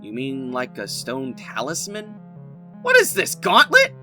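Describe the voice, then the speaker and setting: A man's voice: mid-range voice, huge pitch swings, measured pace. An actor playing an anxious, foul-mouthed young male prisoner in an audio drama, agitated and sarcastic, prone to exclaiming. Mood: incredulous. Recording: music behind the voice.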